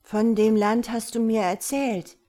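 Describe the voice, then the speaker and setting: A woman's voice: mid-range voice, lively intonation, unhurried, darkish tone. A woman voicing an innocent, curious little boy in a storybook, with a pleading, childlike way of asking. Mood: pleading, earnest. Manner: pleading, wide-eyed, childlike questioning. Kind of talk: storybook character voice.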